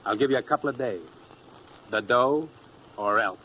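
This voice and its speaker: An actor plays a cool male blackmailer in an old radio crime play, with a medium-pitched voice. He is hard-boiled and slangy, needling and threatening. The vintage recording carries light noise. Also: moderate pace, sharp tone, natural voice, low-quality recording. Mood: taunting, threatening. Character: menacing, sardonic male blackmailer, cool tough crook. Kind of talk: 1950s radio crime acting.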